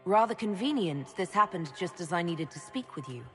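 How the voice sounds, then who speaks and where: A low-voiced, composed heroine. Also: female voice, lively intonation, normal speed, a bit bright, soft background music. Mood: guarded. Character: composed, patient female elven mage leader. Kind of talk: video game voice acting.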